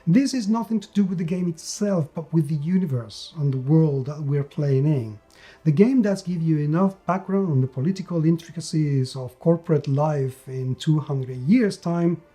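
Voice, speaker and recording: A man's voice: a little high, moderate pace, mellow, faint music behind. A man giving an opinionated review as a show host, speaking emphatically and repeating words to stress his points. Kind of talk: opinionated review talk to camera.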